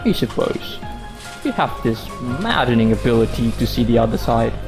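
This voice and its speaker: A man acts out a sarcastic, defensive husband in a radio play, speaking. His delivery is emphatic and leaps up and down in pitch. Faint music sits behind the voice. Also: sing-song, moderate pace. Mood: sardonic. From Indonesia. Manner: sardonic, emphatic. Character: sarcastic, defensive suspended office worker husband. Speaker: actor in a student radio drama. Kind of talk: radio drama acting.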